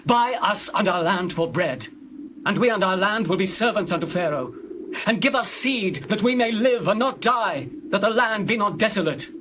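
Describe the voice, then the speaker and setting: An actor playing a humble, starving Egyptian commoner in a scripture drama, pleading and submissive. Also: male voice, sits high, expressive, moderate pace, fairly bright tone, a little hiss or hum. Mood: desperate pleading.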